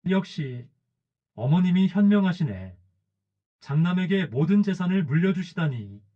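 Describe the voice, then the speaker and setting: A man's voice: high-pitched, very animated, a little slow, full character voice. Storybook schemer read by a man: greedy elder daughter-in-law, smug, sugary, lo-fi audio. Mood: gloating.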